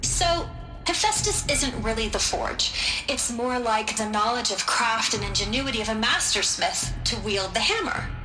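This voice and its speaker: A woman voicing an informal, eager engineer briefing recruits in a video game, with a halting, wry delivery. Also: fairly high pitch, animated. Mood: informal, upbeat. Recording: roomy echo, music underneath.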